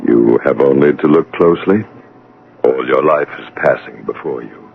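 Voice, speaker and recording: Mysterious calm spirit guide, cryptic and gravelly, old radio play with narrow lo-fi sound. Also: male voice, very deep voice, full character voice. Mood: knowing. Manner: measured, cryptic, patient. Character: calm, enigmatic male spirit guide of the afterlife. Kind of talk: radio drama acting. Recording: noisy, band-limited old radio broadcast.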